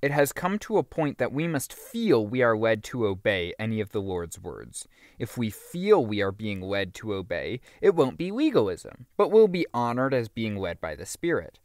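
Expressive man reading a religious audiobook. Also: mid-range voice, dry recording, clean studio sound. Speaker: audiobook narrator. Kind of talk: scripted audiobook reading of a religious teaching book.

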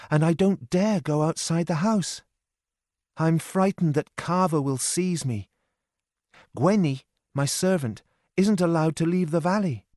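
A man voicing a sad, frightened young woman in danger, speaking softly and pleadingly. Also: fairly high pitch, no room sound, clean studio sound, voice pushed up, lightly acted. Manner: soft, pleading, whispered.

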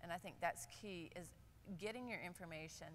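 An older woman, a nurse speaking on a conference panel, candid and animated, with many fillers. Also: fairly low pitch, brisk, big-room sound, a little hiss or hum. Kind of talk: panel discussion. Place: large conference hall stage. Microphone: lapel.

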